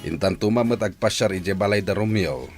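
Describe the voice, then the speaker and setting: Guy playing a caring middle-aged uncle, deep voice, faint music behind, radio drama. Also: a little fast, close to an everyday voice. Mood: friendly. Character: compassionate village uncle, a family man. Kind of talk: Ilocano radio drama acting.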